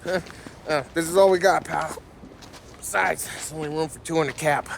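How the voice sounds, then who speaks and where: A man's voice: a little high, steady tone, moderate pace, vocal fry, slight background noise. An actor playing a joking, nervy 1930s mob henchman in a cinematic video game, with slangy tough-guy talk. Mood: wry. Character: wisecracking, nervy mobster henchman in 1930s America. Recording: loud music behind.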